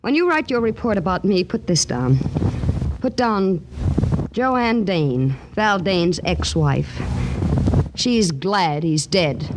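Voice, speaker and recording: A woman's voice: on the low side, sing-song, slow. An actress playing a genteel, polite but bitter ex-wife and devoted mother in a theatrical 1940s radio drama style. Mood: cold, bitter. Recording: noisy old 1940s radio recording.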